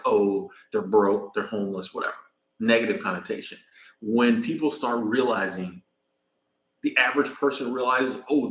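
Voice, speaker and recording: An American man rambling casually on a live stream while traveling in Mexico, pausing to thank viewers by name. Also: medium pitch, fairly bright tone, reverberant, low-quality recording. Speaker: vlogger.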